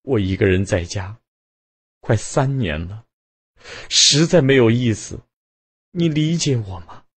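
A man's voice: medium pitch, expressive, measured pace, long pauses, slightly rough, pitched above the performer's own voice. A man voicing a distraught young wife who pleads through tears with her husband in a storybook reading. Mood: pleading. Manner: tearful, pleading.

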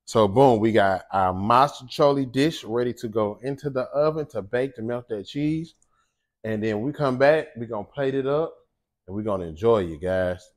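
Chatty man hosting a home cooking show. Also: fairly low pitch. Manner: chatty, animated, talks straight to viewers. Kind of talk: casual cooking chat and monologue. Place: home kitchen, indoors.

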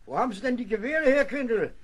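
A man's voice: extremely high pitch, animated, fast talker. A man acting a bewildered, protesting ship's officer in a radio play. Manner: perplexed, protesting. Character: bewildered, flustered male ship's officer taken prisoner.

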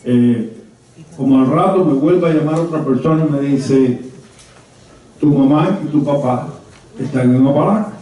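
An older Cuban man in his seventies or beyond reminisces before a small group in a conference room. His voice is very dark and muted, with a deliberate, halting storytelling delivery. It is picked up from a distance, swimming in heavy room echo. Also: medium pitch. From Havana, Cuba. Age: 70s or older. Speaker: conference speaker. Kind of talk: personal reminiscence told to a small audience. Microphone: handheld mic, recorded from a distant camera. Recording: very echoey, muffled room from afar.

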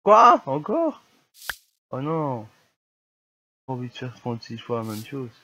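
A man's voice: medium pitch, sing-song, unhurried, pushed and bright, muffled recording. A young man with a mild cold narrates his game in a loose, muttering way. His voice is slightly congested and he grumbles easily when things go wrong. A faint hiss sits under his home recording. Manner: grumbling, easily annoyed at lag. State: has a cold, coughing. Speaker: gamer. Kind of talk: casual gameplay commentary.

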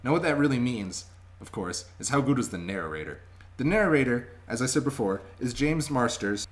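A man's voice: mid-range voice, lively intonation, normal speed. A young adult man talking casually and emphatically to a webcam at home, chatty and enthusiastic as he gives a review. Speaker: vlogger reviewing audiobooks. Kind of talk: casual spoken review to camera. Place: small indoor room at home. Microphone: webcam microphone at arm's length.

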